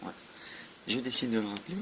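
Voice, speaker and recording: A man walks through a product in a matter-of-fact way. His voice is low and gravelly, with very little melody. The recording is noisy, with clicks. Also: fairly even intonation, fast talker. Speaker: product demonstrator. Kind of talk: informal hands-on product demonstration.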